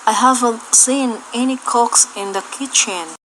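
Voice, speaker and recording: Actress as inquisitive daughter, very unhurried, crisp bright tone, questioning, audio drama, faint noise. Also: female voice, a little high, low-quality recording. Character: inquisitive adopted daughter of wealthy host. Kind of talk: amateur audio drama acting.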